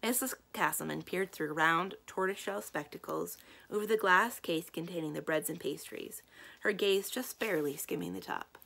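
A woman's voice: on the low side, normal speed, vocal fry, a bit bright. A young adult American woman narrating a children's book as a read-aloud. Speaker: library read-aloud reader.

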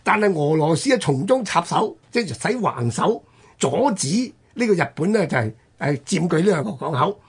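Very husky Hong Kong man, animated storyteller. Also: on the high side. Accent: Hong Kong Cantonese. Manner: emphatic storyteller with drawn-out phrase-ending particles. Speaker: radio host. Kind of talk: conversational history storytelling on radio.

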